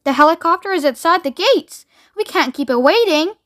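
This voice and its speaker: A woman voicing a brisk, exuberant eccentric man in a children's storybook, emphatic and exclamatory. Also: high-pitched, expressive. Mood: hurried, commanding. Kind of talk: children's storybook read aloud.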